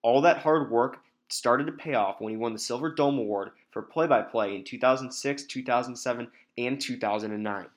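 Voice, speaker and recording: A man's voice: medium pitch, brisk, hardly any pauses. A young man narrating a podcast feature from a script, in documentary style.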